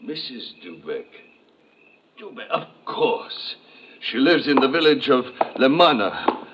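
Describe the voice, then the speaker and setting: Demanding, worried fleet owner, formal and excitable, swooping delivery, vintage radio serial with light hiss. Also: male voice, medium pitch, takes it slowly. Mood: shaken, regretful. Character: indignant, anxious male fishing fleet owner, formal and demanding. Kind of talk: old-time radio drama acting. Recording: slightly noisy old radio recording.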